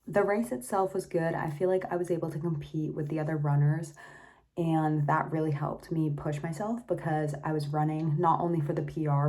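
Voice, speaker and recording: A young woman in her late teens, a little hoarse from cheering, chatting casually to her phone camera in a vlog. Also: deep voice, dark tone. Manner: chatty, upbeat, lots of "like". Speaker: vlogger.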